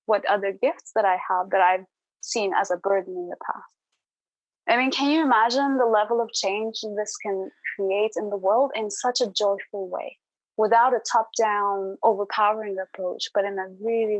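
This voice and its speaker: A woman's voice: mid-range voice, no room sound, muffled recording. Earnest, reflective woman in a dry recording. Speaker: podcast guest. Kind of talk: reflective conversational interview.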